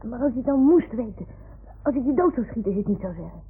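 A woman playing a wistful, stubborn little boy in a radio play, speaking with childlike earnestness and a creaky edge. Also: on the high side, lively intonation, fast talker, exaggerated voice. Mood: pleading, playful. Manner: childlike, earnest, naive storytelling. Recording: tinny, faintly noisy 1960s-70s radio recording.

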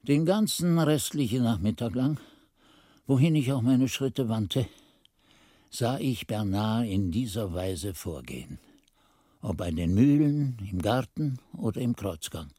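Mellow monk narrator, expressive. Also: male voice, medium pitch, no echo, clean studio sound. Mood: reflective. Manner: literary, measured storytelling. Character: male monk-pupil recounting his master's investigation. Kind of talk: scripted radio play narration.

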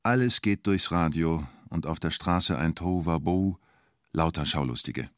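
An actor playing a wry, colloquial storyteller in a radio drama, speaking in clipped present-tense phrases. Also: male voice, very deep voice, normal speed, no room sound, clean studio sound. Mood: wry, observing. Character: male storyteller recalling the bank siege, wry and colloquial.

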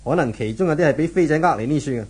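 Husky, commanding police inspector. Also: male voice, a little high, animated, very fast, darkish tone. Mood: stern, probing. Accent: Hong Kong Cantonese. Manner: firm, commanding, probing. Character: authoritative, methodical male police inspector leading raids. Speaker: radio drama actor. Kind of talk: 1970s Hong Kong Cantonese radio drama acting.

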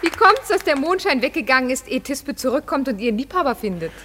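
A woman's voice: sits high, very animated, fast, fairly bright tone, slight background noise. A woman playing a witty, teasing noblewoman in a radio play, mocking in a theatrical manner. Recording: hall reverb, faint crowd behind.

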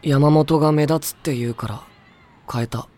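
A man's voice: a little high, steady tone, moderate pace, a little mellow, close to an everyday voice. An actor playing a withdrawn, reluctant teenage boy who mumbles sullenly in a Japanese anime audio drama. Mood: deadpan.

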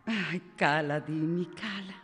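A woman's voice: on the low side, slow. Proud, stubborn elderly mother in echoing reverb. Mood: affectionate, fussing. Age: older. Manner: fussing, insistent. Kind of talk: radio drama acting. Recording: reverberant room, music underneath.

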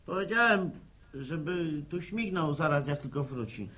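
An actor playing a flustered, gruff village son in a radio drama, brusque and halting. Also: male voice, on the high side, lively intonation, sharp tone. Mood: impatient. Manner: brusque, agitated, stammering slightly.

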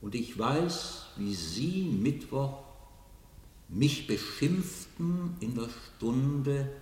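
Solemn godlike figure, declamatory, slow, echoing hall, distant. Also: male voice, medium pitch, animated, darkish tone. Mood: impassioned. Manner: grave, sweeping, declamatory. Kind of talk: radio play acting. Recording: echoey hall from far off.

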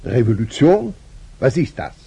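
An actor playing a gruff, argumentative man who speaks forcefully and challengingly in a radio drama. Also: mid-range voice, very animated, a little slow, full character voice. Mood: challenging, heated.

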